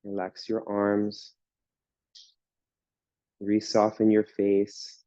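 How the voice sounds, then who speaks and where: A man guiding a yoga class through slow breathing and relaxation, speaking in calm, soothing instructions. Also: fairly low pitch, no echo, highs cut off. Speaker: yoga teacher.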